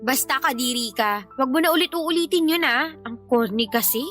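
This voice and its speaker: Woman acting a high-pitched, sassy Filipina girlfriend. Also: expressive, brisk, a bit bright, faint music behind. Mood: annoyed. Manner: sassy, scolding, dismissive. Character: sharp-tongued, irritable young live-in girlfriend and mother. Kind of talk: Filipino radio-style drama acting.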